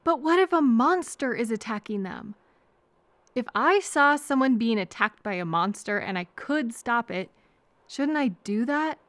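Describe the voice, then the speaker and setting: A woman playing a kind, anxious, chatty traveler who gently overthinks and thinks aloud in a hesitant, earnest audio roleplay. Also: a little high, animated, measured pace, no room sound. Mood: worried, then anxious, dithering.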